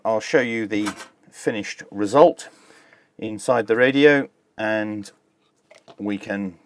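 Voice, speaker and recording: A man hosting a hands-on tech demonstration, explaining each step in a measured, informal way. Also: fairly low pitch, no room sound. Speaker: host of a radio tech review channel.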